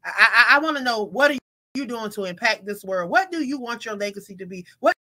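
Bright-voiced woman host, preachy. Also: on the high side, animated, a little fast. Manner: preachy, restarts often, rising to exclaim. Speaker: podcast host. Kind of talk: casual faith-based panel talk. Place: home room on video call.

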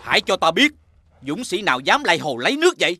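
A man's voice: high voice, sing-song, quick pace, background noise. An actor playing a stately, commanding king in a fairy-tale cartoon. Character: authoritative, magnanimous king of a folk tale. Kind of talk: animated fairy-tale dialogue.

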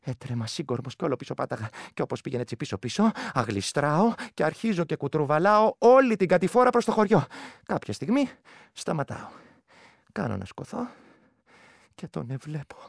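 A haunted village soldier telling his story in rural Greek dialect for a radio play. His voice is fairly high and swoops widely, with a gravelly creak. He moves between hushed unease and outburst. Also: sing-song, no room sound, clean studio sound, exaggerated voice. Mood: frightened recounting. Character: rustic Greek village man, haunted soldier recounting terror. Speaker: actor. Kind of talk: dramatic first-person storytelling in a radio play.

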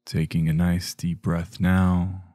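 Male meditation guide, low register, very unhurried, gentle hushed phrasing with long pauses. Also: little pitch movement, no echo. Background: music.

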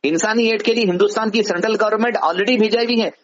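High-pitched Hyderabadi man on a phone call. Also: fairly even intonation, quick pace. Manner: didactic, uses rhetorical questions. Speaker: advisor answering a caller. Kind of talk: explanatory phone conversation. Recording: dull lo-fi phone call recording.

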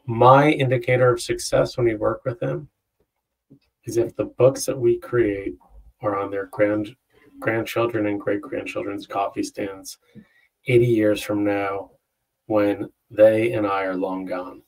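Low husky man on a video call. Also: level tone, a little slow. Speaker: interview guest, company founder. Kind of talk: conversational explaining. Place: indoor room on a video call. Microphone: wireless earbuds. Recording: slightly distant video-call sound.

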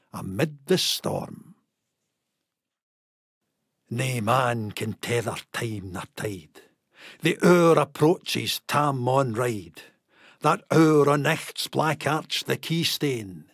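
A Scottish man reciting a narrative poem in Scots in a theatrical, deliberate, emphatic storytelling manner. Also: fairly high pitch, gravelly, no echo, studio quality.

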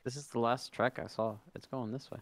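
A man's voice: mid-range voice, fairly even intonation, a little fast, dry recording. A man playing along with friends, making short, offhand remarks about the game. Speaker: teammate. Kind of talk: casual chat while gaming.

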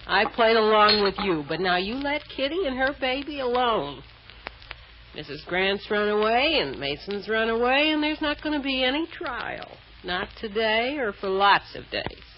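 A woman's voice: a little high, animated, moderate pace, close to an everyday voice. An actress playing a childish, wheedling woman who loves her bottle, cooing and sulky in theatrical old radio style. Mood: defiant. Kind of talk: old-time radio drama acting. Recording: dull, noisy old radio recording.

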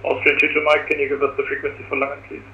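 A man's voice: a little high, monotone, rapid-fire. A man speaking as a simulator pilot, reading back instructions over a radio link. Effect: radio transmission. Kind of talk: radio readback. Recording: muffled, noisy, echoing radio link.

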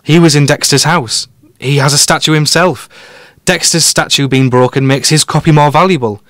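A man's voice: a little high, fairly even intonation, normal speed, a bit bright, no echo, lightly acted. Man playing a confident male detective, dry close sound. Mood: assured, explaining. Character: confident, quick-witted male amateur detective explaining his deductions. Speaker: podcast guest detective. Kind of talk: conversational audio drama dialogue.

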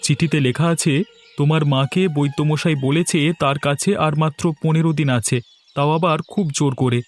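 A man's voice: on the high side, fairly even intonation, fairly quick, faint music behind, natural voice. A man voicing a greedy young son-in-law in a cartoon, grumbling and sarcastic. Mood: informing.

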